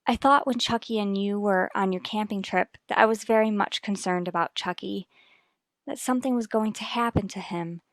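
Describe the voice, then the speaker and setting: Woman playing worried mom in an old radio soap, careful formal talk, medium pitch, no room sound. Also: moderate pace, no echo, close to an everyday voice. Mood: apprehensive. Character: anxious, troubled mother and wife, polite and guarded. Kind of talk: mid-century radio soap acting.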